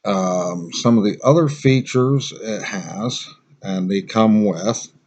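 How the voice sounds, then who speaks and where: A man giving a casual product review in a plain-spoken, halting, conversational way. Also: fairly low pitch, darkish tone, highs cut off.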